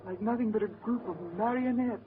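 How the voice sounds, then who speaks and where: A woman's voice: fairly high pitch, a little fast, close to an everyday voice. An actress playing an anxious, horrified woman in melodramatic 1940s radio horror style. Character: anxious, horrified woman lost in a haunted forest. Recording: tinny, noisy 1940s radio recording.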